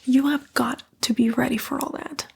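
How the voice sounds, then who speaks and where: A woman's voice: sits high, steady tone, normal speed, a little hiss or hum. A woman voicing a sly, sassy female demon in a whispered ASMR roleplay, coaxing and flippant, with disdainful slang. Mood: gleefully corrupting. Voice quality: breathy, mostly whispered.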